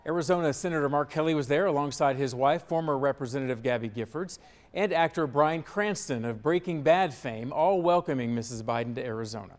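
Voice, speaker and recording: A man's voice: a little high, a little fast. A man with an American accent reading scripted local news as a television anchor in a studio.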